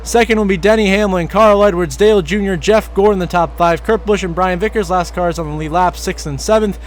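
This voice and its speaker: A hoarse young man doing play-by-play race commentary as a voiceover. His calls rise with excitement at crashes and passes. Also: sits high, brisk, slight background noise. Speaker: sim racing commentator.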